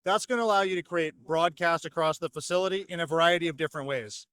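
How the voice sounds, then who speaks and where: A man with a fairly high voice explains products in an articulate, orderly way. The sound is dry and close, like a company representative being interviewed. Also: fast, a bit bright, no room sound. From North America. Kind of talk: fluent product explanation at a trade show. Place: trade show exhibition hall.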